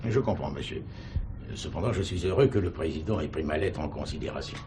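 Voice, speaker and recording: An actor playing a courteous, middle-aged prison chaplain in a radio drama, speaking formally and earnestly. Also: male voice, a bass voice, fairly quick, creaky, background noise. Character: earnest prison chaplain, a devout pleading man. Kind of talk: 1970s French radio drama acting.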